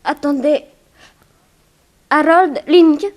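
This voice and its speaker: A scared young girl, super high voice, hesitant n pleading, old radio drama. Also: drawn-out words. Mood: anxious, confessing. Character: frightened, evasive young girl hiding a friend's secret. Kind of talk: 1960s French radio serial acting.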